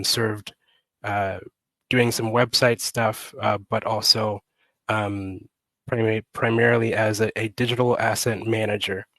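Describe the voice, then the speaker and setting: Slow, raspy man with a cold. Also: fairly low pitch, fairly bright tone, no echo. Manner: slow, deliberate, with many pauses and fillers. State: getting over a cold, slightly raspy. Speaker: guest. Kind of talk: casual interview. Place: home room, indoors. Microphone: headset.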